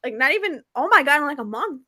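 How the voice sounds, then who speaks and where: A woman with a high, bright voice co-hosts a relaxed book chat over a video call. Her sound is dry, with little room in it, and she speaks in an animated way, repeating phrases for emphasis. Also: fast talker, no echo. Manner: addresses viewers as "y'all". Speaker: book discussion co-host. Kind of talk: casual chat. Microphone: livestream video call.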